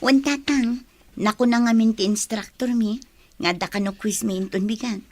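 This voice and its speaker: A woman's voice: on the high side, moderate pace, darkish tone, natural voice. A woman playing a modest, grateful young daughter and college student in an Ilocano radio drama. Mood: earnest, modest.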